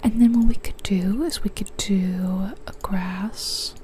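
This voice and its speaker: A young woman whispering softly as she plays a building game, a little sniffly from a cold, musing to herself. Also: mid-range voice, fairly even intonation, takes it slowly, a little hiss or hum. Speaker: ASMR gaming streamer. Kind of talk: whispered ASMR gameplay commentary.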